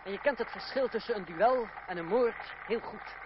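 An actor playing a proud, idealistic young gentleman turned stage player in an old radio drama, earnest and pointed. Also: high voice, normal speed, lightly acted. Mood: accusing. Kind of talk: old-fashioned Dutch radio drama acting. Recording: noisy, muffled old radio play recording.

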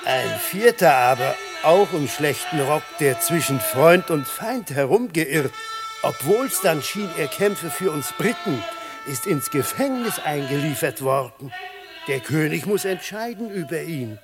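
An actor playing an earnest officer giving a report in a classic radio drama. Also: male voice, fairly high pitch, expressive, unhurried, over music. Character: earnest male officer reporting after battle.

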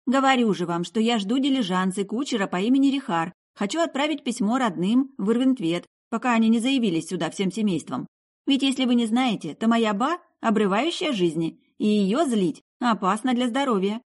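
A woman voicing an odd, chatty young sorceress in an audiobook, breezy and quick to take offence. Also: fairly high pitch, expressive, voice pushed up. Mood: exasperated. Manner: breezy, indignant, talkative.

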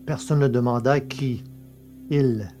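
A man's voice: mid-range voice, steady tone, slow pace, dark tone, faint music behind. A man narrating a scripted science-fiction adventure story as its dramatic narrator.

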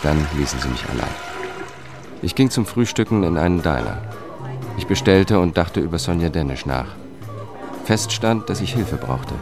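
A very low, weary male voice tells an investigator's story in a radio drama. He is measured and melancholic, holding back emotion, with clear music behind him. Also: big pitch swings. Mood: melancholic, reflective. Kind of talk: radio drama narration and dialogue.

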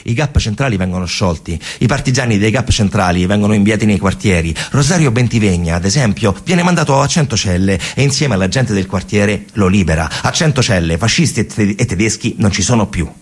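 A man's voice: on the low side, lively intonation, a little fast, few pauses, breathy edge. A man with a central Italian accent from Lazio delivers a dramatic narrative theatre monologue, colloquial and emphatic, repeating phrases for effect. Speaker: storyteller actor in a radio theatre monologue.

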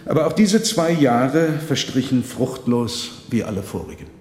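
A man playing a theatrical trickster conjurer in a radio drama, recounting his tale in measured, dramatic, formal language. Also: medium pitch, animated, a little mellow, a little hiss or hum, close to an everyday voice. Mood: solemn, dramatic. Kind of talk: radio drama storytelling, literary narration. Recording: echoey reverberant hall sound.

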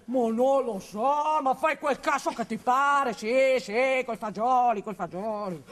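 A woman's voice: on the high side, takes it slowly. A woman playing a blustering, defensive male building foreman, rambling and interrupting herself in a radio drama monologue. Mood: irritated. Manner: agitated, rambling, self-interrupting. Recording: echoey room, distant mic.

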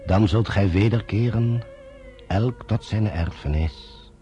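An actor playing a solemn older retired British army general who narrates in a grave, measured way. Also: male voice, fairly low pitch, warm tone, dull audio, soft background music. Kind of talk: 1950s radio drama narration.

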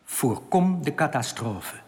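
A man's voice: fairly high pitch, lively intonation, takes it slowly. A solemn underground rebel, his voice ringing in long reverb and moving expressively up and down. He speaks formally and earnestly, like someone delivering grave warnings in a science fiction radio play. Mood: solemn urging. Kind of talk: radio drama acting.

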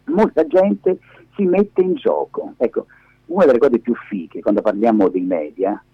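A middle-aged man speaks as a radio guest, with a husky, lowish voice that rises and falls in a lively way. He explains things emphatically and digresses often, in spontaneous conversation. The phone audio is dull and echoey. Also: measured pace. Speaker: interview guest, media activist and lab director. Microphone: phone held against face.

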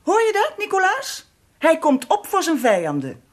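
An actress playing a fervently devout, emotional Russian empress in a radio drama, with a breathy, husky voice. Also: female voice, very high voice, wildly swooping pitch, moderate pace, heavily acted. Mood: pleading. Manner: fervent, reverent.

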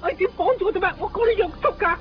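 A man with a Hong Kong Cantonese accent voicing a defiant, indignant stray dog in a radio drama, with a husky voice. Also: very high voice, very fast, soft background music. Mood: panicked. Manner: indignant, stammering when threatened. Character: defiant stray male dog talking back to his keeper. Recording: dull, lo-fi 1990s radio recording.

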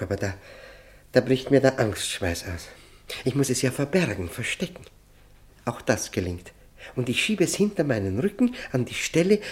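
Intense, desperate male prisoner, dramatically animated actor's performance. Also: mid-range voice, natural voice. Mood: tense, secretive. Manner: intense, halting, with repeated words. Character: desperate, obsessive male political prisoner recounting solitary confinement. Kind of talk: German radio play, dramatic first-person narration.

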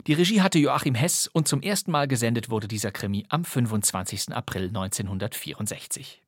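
A man's voice: medium pitch, fairly quick. A man working as a radio presenter, reading scripted announcements for radio plays. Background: music.

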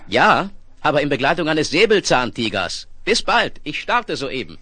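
An actor playing a confident, wry space explorer in a 1970s German science-fiction radio play, with a theatrical, dryly ironic delivery. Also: male voice, a little high, sing-song, moderate pace, pushed and bright, full character voice.

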